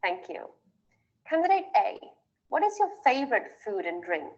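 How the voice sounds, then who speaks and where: A woman acting as examiner, reading formal exam prompts in a measured way. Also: fairly high pitch, sing-song, a little mellow, dull audio. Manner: formal, measured, rising on each question.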